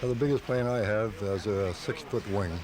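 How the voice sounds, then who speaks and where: A man's voice: lowish voice, fairly even intonation, normal speed, a crowd in the background. A man being interviewed as a hobbyist on live radio, explaining things casually and plainly. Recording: noisy home-taped live radio.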